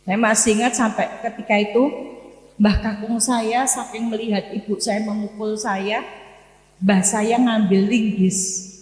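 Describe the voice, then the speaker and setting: A woman in her sixties with a Javanese accent, preaching with personal stories in an emphatic, deliberate way, with pauses and repeated phrases. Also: mid-range voice, level tone, a little mellow. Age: 60s. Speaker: Islamic preacher giving a morning study lecture. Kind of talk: sermon with personal storytelling. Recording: echoey hall with long reverb.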